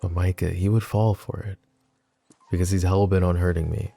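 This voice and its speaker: A very deep, creaky-voiced mafia boss played by a man murmurs to his listener in an intimate audio roleplay. His tone is dark and mellow, possessive yet teasing, and he takes his time between phrases. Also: level tone, normal speed, soft background music. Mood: grave, protective.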